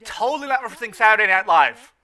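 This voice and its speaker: A man giving casual, excitable commentary on a cartoon, halting and repeating his words. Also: high voice. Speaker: co-host of a cartoon riffing show.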